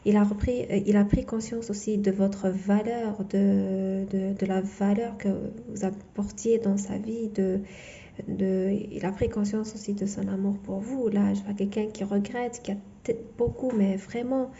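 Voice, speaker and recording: A woman whose first language is not French, giving a tarot reading in a halting way full of fillers and restarts. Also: medium pitch, fairly even intonation, mellow, lo-fi sound, slight background noise. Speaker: tarot reader.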